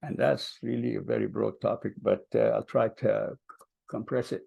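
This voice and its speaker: An older man from Palestine, telling his family story at a vigil in a soft, hesitant way with many ums. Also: fairly low pitch, fairly even intonation, normal speed, vocal fry, husky, muffled recording. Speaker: vigil speaker. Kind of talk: personal storytelling.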